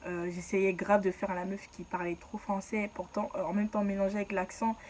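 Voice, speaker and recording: A woman's voice: medium pitch, level tone, brisk, a little mellow, slight background noise. A young woman from Ivory Coast telling her own story in a casual, slangy way and laughing at herself.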